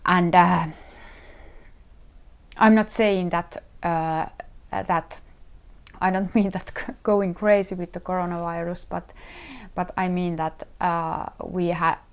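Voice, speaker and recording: A middle-aged woman from Finland with a Finnish accent, hosting her own knitting podcast and talking casually, often pausing with fillers and restarting her sentences as she searches for words. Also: lowish voice, steady tone, clear, resonant tone. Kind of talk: casual solo podcast talk.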